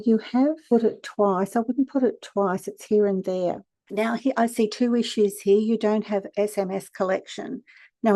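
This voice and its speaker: A hoarse woman talks through a casual tutorial in a chatty, direct way. Her voice is mellow and warm, and the recording is close and dry with no room sound. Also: mid-range voice, dry recording. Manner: chatty, direct, practical advice. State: hoarse from a cold. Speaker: e-commerce consultant and channel host. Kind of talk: casual screen-share tutorial.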